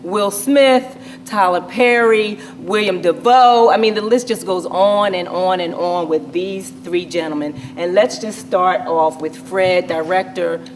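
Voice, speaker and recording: A woman's voice: on the low side, a little slow, few pauses, a little hiss or hum. A woman hosting a celebrity interview show, effusive and emphatic, repeating words as she introduces and praises guests. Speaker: talk show host, interviewer.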